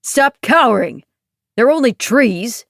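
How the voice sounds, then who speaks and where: A woman voicing a loud, desperate grown man who shouts and sobs. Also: a little high, lively intonation, takes it slowly, vocal fry, no echo, clean studio sound, voice pushed up, heavily acted. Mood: angry. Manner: shouting, sobbing.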